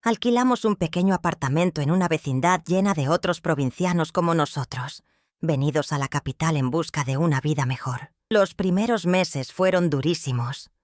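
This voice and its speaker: Brief: older woman, first-person storyteller, solemn and measured, dry recording. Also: deep voice, dry recording. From Michoacán, Mexico. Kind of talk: scripted first-person narration.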